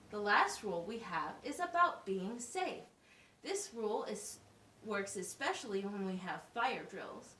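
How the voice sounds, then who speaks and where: A woman with a high, very animated voice acts as a teacher in a puppet show. She explains things in simple, deliberate words. The recording is echoey and picked up from a little way off. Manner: instructive, simple wording with deliberate enunciation. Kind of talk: slow, scripted classroom puppet show. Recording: roomy, distant mic with faint noise.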